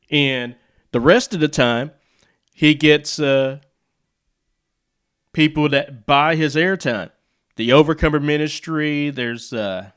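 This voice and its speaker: Slow-paced American man, clear voice. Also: medium pitch, a bit bright. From United States. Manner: rambling, conversational asides. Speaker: video host, radio hobbyist. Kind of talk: casual, unscripted explainer.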